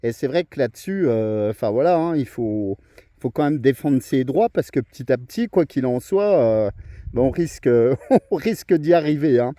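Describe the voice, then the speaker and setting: A man's voice: mid-range voice, lively intonation, moderate pace, dark tone, no room sound. A man chatting on amateur radio, talkative and hesitant, with many fillers. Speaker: ham radio operator. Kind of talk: casual radio chat.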